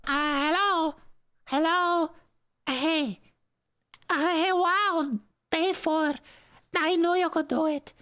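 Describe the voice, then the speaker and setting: A performer, heard as a woman, voicing a nervous, doomed caller leaving a halting, stammering horror game phone message in a cartoonish voice. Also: extremely high pitch, fairly even intonation, bright, forceful tone, pitched above the performer's own voice, heavily acted. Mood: nervous, resigned.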